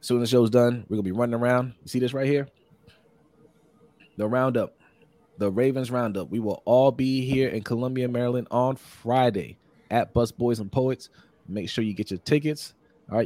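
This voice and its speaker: A hoarse man hosting a casual live-streamed sports podcast, upbeat and repetitive, reading out viewer comments and giving shout-outs. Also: medium pitch, brisk, dry recording. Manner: upbeat, repeats phrases, many shout-outs. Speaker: podcast host.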